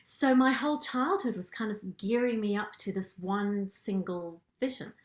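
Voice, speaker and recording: A middle-aged woman from Zimbabwe, a transformation coach, telling her personal story in an interview with lively storytelling. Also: medium pitch, normal speed. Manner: animated storytelling, acts out quoted thoughts. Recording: roomy echo.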